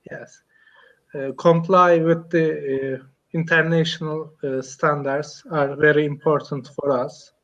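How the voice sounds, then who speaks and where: A man's voice: fairly high pitch, little pitch movement, a little slow, a bit bright, lo-fi sound. A man from Turkey with a Turkish accent, speaking English as a learner on a video call, hesitant and full of fillers as he searches for words. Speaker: English learner, computer engineer. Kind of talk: casual online English lesson chat.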